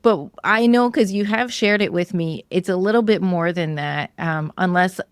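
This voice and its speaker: A woman podcast host with a fairly low voice and a close, dry sound. She talks informally and encouragingly, working out her questions as she goes, in a conversational interview style. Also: normal speed, clear, resonant tone, no room sound.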